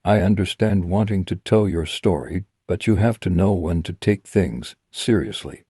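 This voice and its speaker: A man reading a scripted commentary voice-over in a measured, critical tone. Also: sits low, warm tone, slightly rough, no room sound, studio quality. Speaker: commentary channel host.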